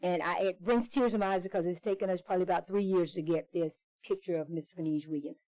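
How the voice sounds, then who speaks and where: A woman's voice: lowish voice, steady tone, fast, mellow, poor audio. A woman speaking for a committee in an informal public presentation, repeating and rephrasing for emphasis. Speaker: committee spokesperson. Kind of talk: informal public introduction speech.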